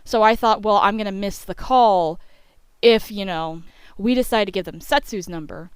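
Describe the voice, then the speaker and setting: A young woman tells a rambling, informal story for an online video. Her voice is smooth and clean at a middle pitch, recorded close and dry. She talks like she is chatting with friends, dropping in "like" and "you know" as she goes. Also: normal speed, no echo. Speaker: YouTube storyteller. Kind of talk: casual rambling story time voice-over.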